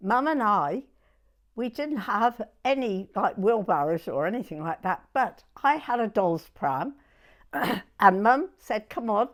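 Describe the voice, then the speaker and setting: A woman in her nineties with a southern English accent, reminiscing in an unhurried, halting way in short broken phrases. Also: mid-range voice, big pitch swings. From southern England. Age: 90s. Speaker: oral history interviewee. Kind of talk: personal reminiscence in conversation.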